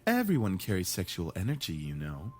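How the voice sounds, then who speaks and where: Flirty incubus gentleman for a visual novel, suave, deep-ish and swoopy. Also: huge pitch swings, brisk, soft background music, heavily acted. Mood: flirtatious. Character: flirtatious, gentlemanly male incubus, smooth seducer. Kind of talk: visual novel game acting.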